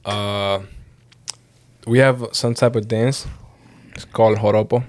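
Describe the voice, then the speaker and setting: A man's voice: fairly low pitch, fairly even intonation, slow. Easygoing young man from Venezuela. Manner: easygoing, agreeable, slangy. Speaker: podcast co-host. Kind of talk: casual podcast chat.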